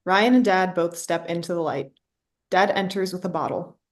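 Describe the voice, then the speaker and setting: A woman's voice: lowish voice, moderate pace. A woman reading scripted stage directions as the narrator of a play reading.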